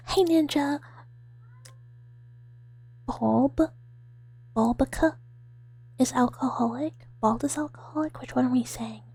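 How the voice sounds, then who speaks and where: A woman with a breathy, whispery, soft voice chatting playfully to viewers on an ASMR livestream, rambling and making mock threats. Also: on the high side, dry recording. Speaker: VTuber streamer playing a mouse character.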